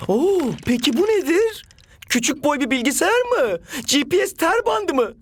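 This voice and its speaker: An actor voicing an eager, naive young cartoon footballer with an earnest, exclamatory delivery. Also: male voice, very high-pitched, very animated, normal speed, dry recording. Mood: curious. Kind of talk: animated cartoon dub acting.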